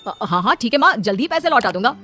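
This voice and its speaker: A woman's voice: fairly high pitch, big pitch swings, fast talker, pushed and bright, a little hiss or hum. A woman voicing a glib, sponging adult son in a cartoon story. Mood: cajoling.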